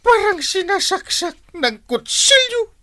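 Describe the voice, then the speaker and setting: A man plays a middle-aged village captain in a barangay comedy, lovesick and easily flustered. His whiny, stammering delivery swoops widely in pitch, with a bright, sharp edge. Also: very animated, takes it slowly, exaggerated voice. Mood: tearful, pained. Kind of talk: Ilocano barangay comedy drama acting.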